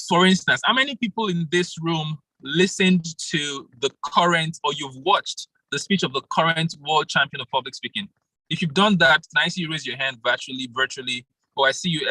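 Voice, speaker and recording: A man leads an online speaking workshop over a video call, lively and quick to laugh as he calls on people by name. His voice sits a little high and rings bright and forceful. The sound is dry and close. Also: breathy edge, dry recording, low-quality recording. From Nigeria. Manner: animated, laughs often, calls on participants by name. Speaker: public speaking coach. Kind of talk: interactive online workshop talk.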